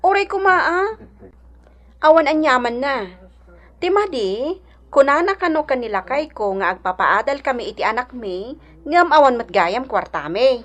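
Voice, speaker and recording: A woman's voice: high-pitched, expressive, normal speed, long pauses, a bit bright. A woman acting an outspoken, aggrieved mother short of money, emphatic and gossipy, in a radio drama. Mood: indignant. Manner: complaining, indignant, emphatic.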